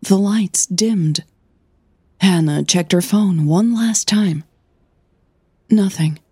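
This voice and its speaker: A woman narrating scripted fiction in a measured, intimate storytelling manner. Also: lowish voice, warm tone, slightly rough, no echo, clean studio sound. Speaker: audio drama narrator.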